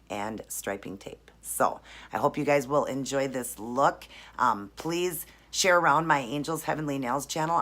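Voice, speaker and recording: A woman with a low, deep-set voice talks through her nail design in a friendly, chatty way. Her voice is raspy and hoarse from laryngitis, with a rough edge on every phrase. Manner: friendly, chatty, explains each step. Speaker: nail art vlogger. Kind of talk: casual tutorial chat.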